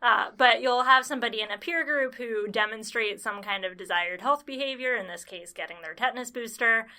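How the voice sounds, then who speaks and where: Bright, higher-pitched female podcast guest. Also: a little fast. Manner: explains clearly with dry humor. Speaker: podcast guest, public health planner. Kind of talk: casual explanatory chat.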